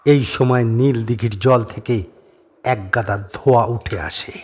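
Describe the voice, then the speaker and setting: A man narrating a scripted horror story dramatically, with suspenseful pauses, over atmospheric ambience. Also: mid-range voice, a little mellow, breathy edge. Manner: suspenseful, theatrical phrasing with pauses. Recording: faint background noise and ambience.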